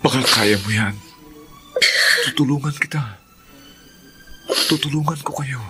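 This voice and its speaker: A man's voice: medium pitch, measured pace, sharp tone, soft background music. A man playing an earnest, supportive friend in a Filipino radio drama, speaking reassuringly. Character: earnest, supportive male friend, gentle and devout.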